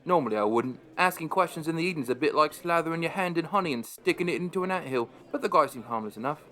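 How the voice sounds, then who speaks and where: A man's voice: on the high side, lively intonation, fast talker, a bit bright. An actor playing a wry, chatty pub landlord, narrating his story in a conversational way for an audio drama.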